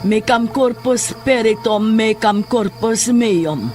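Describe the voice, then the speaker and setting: A man's voice: high voice, slow, darkish tone, soft background music. A man with a Filipino accent playing a desperate occultist in a horror audio drama, with an intense, incantatory delivery. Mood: rising incantation. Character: desperate, obsessive male occultist chanting dark incantations.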